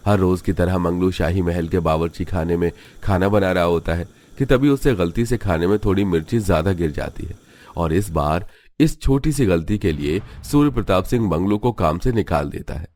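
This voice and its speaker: A man's voice: low voice, normal speed, darkish tone, dry recording. A man narrating a scripted cartoon story as the storyteller.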